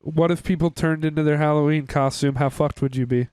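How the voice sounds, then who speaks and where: A man's voice: fairly high pitch, fairly even intonation, fast talker, a little mellow, smooth, no room sound. A young man co-hosting a podcast, giving a casual, candid review with informal, sweary phrasing. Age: young adult. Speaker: podcast co-host.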